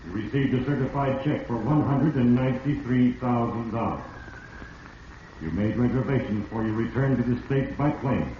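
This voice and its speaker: An actor playing Fate, a grave all-knowing narrator passing stern judgment in a solemn, portentous 1940s radio mystery style. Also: male voice, mid-range voice, moderate pace, recorded from a distance, natural voice. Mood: ominous. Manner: solemn, portentous second-person address. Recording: echoing, muffled, noisy vintage radio recording.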